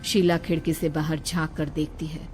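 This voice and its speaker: A woman's voice: low-pitched, level tone, moderate pace. A woman reading a scripted horror story as its narrator. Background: background music.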